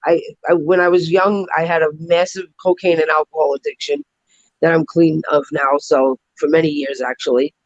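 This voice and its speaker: A middle-aged woman with a raspy voice and a New York accent, chatting and joking on a live stream. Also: low voice, dry recording, muffled recording. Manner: chatty, animated, quick to joke. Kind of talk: casual live stream chat.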